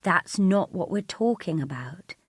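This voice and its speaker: A woman's voice: on the low side, expressive, a little slow, slightly rough, dry recording. A female narrator acts out an exasperated husband from an audiobook story. He is stern and confronting, speaking in firm, clipped phrases as he holds his anger back. The sound is very dry.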